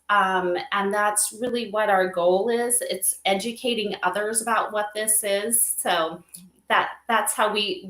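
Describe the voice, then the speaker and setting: A woman's voice: fairly high pitch, bright, forceful tone. A woman speaking as an interview guest, explaining her company's work in a conversational way. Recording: echoey room sound.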